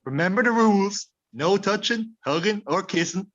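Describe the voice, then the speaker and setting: A man playing an easygoing, affectionate old husband ghost, placating and gently teasing, on a muffled radio play recording. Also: high-pitched, lively intonation, moderate pace. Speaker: actor in an amateur radio play.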